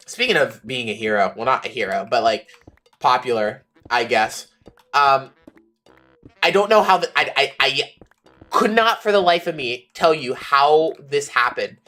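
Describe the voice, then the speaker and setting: A young streamer with a slightly raspy voice, chatting casually and ranting animatedly, with playful insults. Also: medium pitch, bright, forceful tone. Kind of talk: casual rambling chat while streaming.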